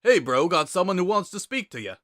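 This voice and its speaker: A man's voice: high voice, very animated, brisk, a bit bright, no echo, clean studio sound, pitched above the performer's own voice. A man voicing a laid-back, wry joker with a casual, sardonic drawl in a dramatic story reading. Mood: breezy. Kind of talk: dramatic reading of fan fiction.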